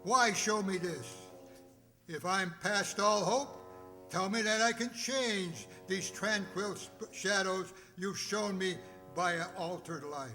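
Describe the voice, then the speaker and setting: A man acting a frightened, remorseful old miser in a radio drama, stammering and pleading in a drawn-out, tremulous way. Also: sits high, sharp tone, exaggerated voice. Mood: desperate pleading. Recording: echoey hall, music underneath.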